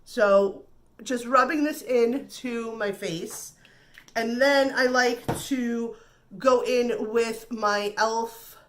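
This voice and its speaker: Slow-talking nasal woman vlogger. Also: on the high side. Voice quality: slightly nasal. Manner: chatty and reassuring, repeats words. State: recovering from flu. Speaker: beauty vlogger. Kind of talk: casual makeup tutorial.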